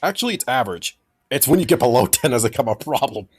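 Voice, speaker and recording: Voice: medium pitch, big pitch swings, quick pace, breathy edge. A host in casual group chat, talkative and lecturing with jokes. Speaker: podcast host.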